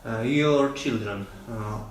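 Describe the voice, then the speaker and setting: A male interviewer asks his questions slowly, with frequent hesitations and non-native English phrasing. His voice sits at an ordinary pitch. He sounds far from the mic in a heavily echoing room with a faint hum behind. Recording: very echoey room, distant mic, faint ambience.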